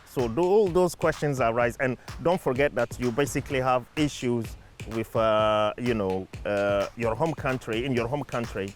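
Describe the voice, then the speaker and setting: A man's voice: mid-range voice, moderate pace, hardly any pauses. Earnest man, French native, over music. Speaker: community campaigner. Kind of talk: documentary interview.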